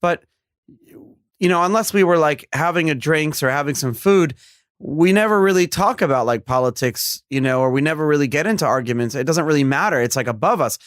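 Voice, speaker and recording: Podcast host, young New man, emphatic and repetitive, raised pitch, dry. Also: animated, a little fast, no room sound. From New York. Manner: earnest, emphatic, rambling with repeated phrases. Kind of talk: casual interview chat.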